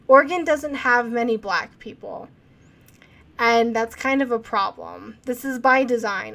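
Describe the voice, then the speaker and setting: Young woman podcast host, kinda high voice, earnest, reading report quotes then chatting. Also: a bit bright. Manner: earnest, questioning, reads quotes aloud. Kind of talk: casual true-crime podcast chat, reading from reports.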